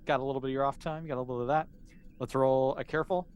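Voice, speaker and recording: A man's voice: medium pitch, steady tone, rapid-fire, a little mellow, smooth. A man casually narrating a tabletop game as game master, explaining in an easygoing, conversational way.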